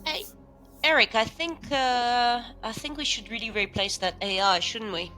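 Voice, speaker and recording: Practical female station safety officer, played by a woman, bright forward voice, concerned, informal and apologetic, conversational audio drama. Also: fairly high pitch, measured pace, soft background music. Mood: concerned, urging.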